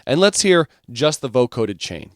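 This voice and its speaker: A man teaching music production software, explaining each step in an informal way. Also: medium pitch, very animated, normal speed, no room sound, clean studio sound.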